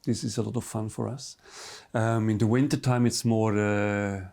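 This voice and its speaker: Gravelly Swiss-German man, relaxed and halting. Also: fairly low pitch. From Switzerland, near Bern. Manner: relaxed, halting, many fillers and restarts. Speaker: hotel manager, guest. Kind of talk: interview answers in English.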